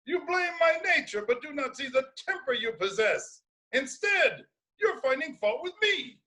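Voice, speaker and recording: A man's voice: very high voice, very animated, moderate pace, sharp tone, heavily acted. A man playing a bitter, defiant old blind prophet in a Greek tragedy reading, with declamatory, ominous delivery. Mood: stubborn, indignant.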